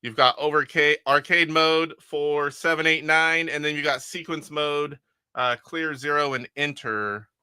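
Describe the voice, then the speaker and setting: Chatty, bright-voiced man streaming games. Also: fairly high pitch, steady tone, measured pace, clear, resonant tone, no echo, clean studio sound. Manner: chatty, rambling, chuckles, reads viewer comments aloud. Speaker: retro game streamer host. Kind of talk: casual live-stream chat with gameplay. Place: attic converted to a game room.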